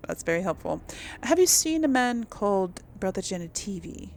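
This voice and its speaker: Creaky-voiced woman chatting through a game. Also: medium pitch, big pitch swings, moderate pace, slight background noise. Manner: musing aloud, often trailing off. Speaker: gaming video host. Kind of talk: casual chatty gameplay commentary.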